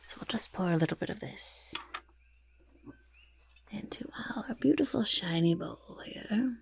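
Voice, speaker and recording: Croaky, whispery, boastful goblin. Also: female voice, medium pitch, animated, unhurried. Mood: proud, instructive. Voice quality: raspy, croaky, breathy half-whisper. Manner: speaks of self in third person, fussy, emphatic repetition. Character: boastful, eager goblin potion-peddler of playful temper. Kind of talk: soft-spoken ASMR roleplay.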